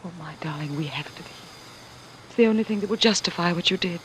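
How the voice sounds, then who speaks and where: A woman's voice: lowish voice, fast, fairly bright tone, natural voice. A devoted, fretful woman in love played by a radio actress, earnest and theatrical. The vintage radio drama recording carries a light layer of noise. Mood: anxious, humble. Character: devoted, anxious woman, lover of a fallen ruler. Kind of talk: old-time radio drama acting. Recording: noisy vintage radio broadcast.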